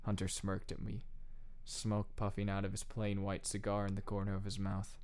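Man acting a low-voiced, pensive teenage narrator. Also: completely flat delivery, normal speed, darkish tone, clean tone, close to an everyday voice. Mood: reflective. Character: pensive teenage boy narrating his story. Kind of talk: scripted first-person audiobook narration.

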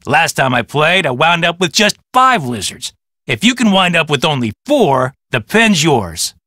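Cocky, animated celebrity detective. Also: male voice, on the high side, unhurried, no room sound, studio quality, full character voice. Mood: smug. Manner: boastful, condescending, glib. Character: cocky, self-important male celebrity police detective. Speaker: voice actor in a detective adventure game. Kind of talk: video game character dialogue.

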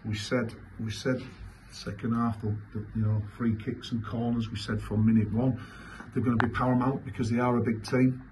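A man, answering as a football manager after a match in halting, repetitive, emphatic phrases. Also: medium pitch, little pitch movement, darkish tone. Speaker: football manager giving a post-match interview. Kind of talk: post-match interview answers. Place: small indoor club press room. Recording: clearly noisy room ambience.

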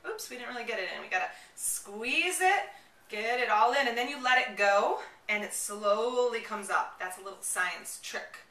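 Excited mom vlogger lady, high voice, chatty demo in an echoey kitchen, mic kinda far away. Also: normal speed. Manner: enthusiastic, animated, chatty with children. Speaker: mom blogger presenter. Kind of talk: casual product demonstration vlog. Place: home kitchen, indoors. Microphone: camera a few steps away. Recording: roomy, distant camera microphone.

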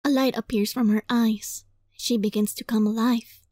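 A woman reading a visual novel aloud as a let's play, dramatic and playful, in a giggly, flustered, excitable manner. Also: fairly high pitch, normal speed, no room sound, clean studio sound. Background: music.

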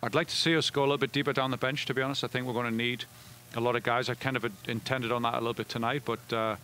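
Fast-talking middle-aged coach, headset mic. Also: male voice, mid-range voice, flat delivery, bright, forceful tone, a little hiss or hum. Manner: fast, with frequent restarts and fillers. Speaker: head soccer coach. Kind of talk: post-game interview. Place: indoor media room before a backdrop.

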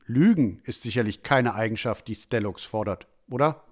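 A man playing a stern, disappointed village father, measured and reproachful, in a fantasy audio drama. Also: on the low side, animated. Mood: stern disappointment.

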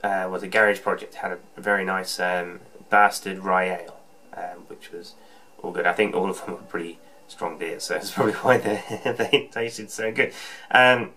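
A man's voice: sits low, fairly even intonation, normal speed, sharp tone. A man in his thirties chatting casually to camera in a small room, rambling with plenty of ums and restarts. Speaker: homebrew vlogger. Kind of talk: casual vlog chat. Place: small indoor room with shelves. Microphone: camera on desk, close framing.

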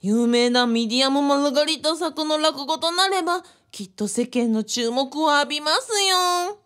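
A woman playing a genial, flattering cult founder in a radio drama, speaking politely with theatrical flourishes. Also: high voice, moderate pace. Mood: encouraging, boastful. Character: genial, flattering cult founder who performs comic stories.

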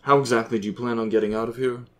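A man's voice: medium pitch, steady tone, rapid-fire, lightly acted. A man voicing the nonchalant, needling ghost of a dead father in audiobook dialogue, dry and unruffled.

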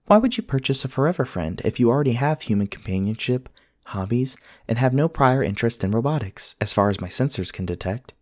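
A man playing a polite, earnest companion robot in an ASMR roleplay, speaking in formal, measured, precise sentences. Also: mid-range voice, lively intonation, darkish tone, dry recording, clean studio sound, close to an everyday voice. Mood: courteous, helpful. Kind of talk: scripted ASMR roleplay acting.

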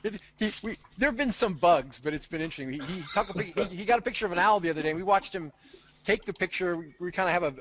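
A man's voice: sits high, racing, a bit bright. A man hosting a casual group video call, rambling and joking with many restarts. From United States. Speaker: host.

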